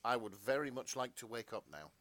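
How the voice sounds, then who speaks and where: A man's voice: medium pitch, expressive, fairly quick, a bit bright, a little hiss or hum. A man co-hosting a podcast, narrating fan fiction melodramatically with casual asides.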